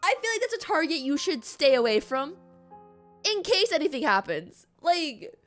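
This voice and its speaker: Girl streamer reacting to a game, super expressive n jokey, voice jumps all over, bit of music behind. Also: sits high, normal speed. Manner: expressive, gushing, joking. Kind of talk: casual live reaction commentary.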